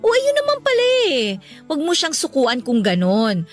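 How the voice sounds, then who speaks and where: A woman's voice: high-pitched, wildly swooping pitch, moderate pace, faint music behind. A woman playing a caring older sister who gives emphatic, encouraging advice in a radio-style drama. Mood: encouraging, earnest.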